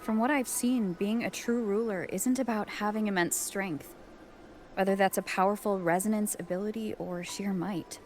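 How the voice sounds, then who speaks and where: A woman voicing a sincere, thoughtful young heroine in a video game, speaking in a gentle, reflective way. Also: mid-range voice, steady tone, normal speed, close to an everyday voice. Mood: earnest, encouraging. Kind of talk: earnest game dialogue. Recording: music behind the voice. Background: background music.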